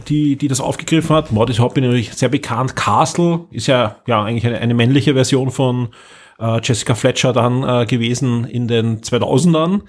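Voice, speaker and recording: Husky Austrian man hosting a chat. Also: mid-range voice. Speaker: podcast host. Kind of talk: casual moderated chat.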